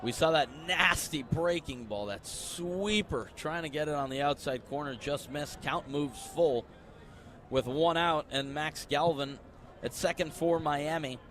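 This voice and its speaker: High-voiced young American man calling live sports. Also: unhurried, fairly bright tone, a little hiss or hum. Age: young adult. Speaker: play-by-play sports announcer. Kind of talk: live radio baseball and basketball play-by-play.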